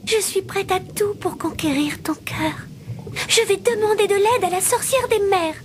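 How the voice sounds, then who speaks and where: A woman voicing a young, lovestruck little mermaid in an animated fairy tale, earnest, sweet and pleading. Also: very high voice, moderate pace. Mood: determined, yearning.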